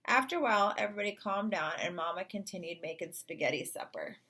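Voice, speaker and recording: A woman narrating a children's story in an expressive read-aloud style. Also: fairly low pitch, fast talker. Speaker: storybook reader.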